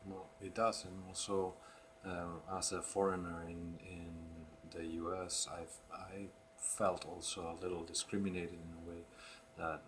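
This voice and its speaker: A man from the Basque Country with a Basque Spanish accent, speaking English hesitantly with frequent pauses and "um"s. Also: deep voice, little pitch movement, background noise. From the Basque Country, Spain. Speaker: conversation partner.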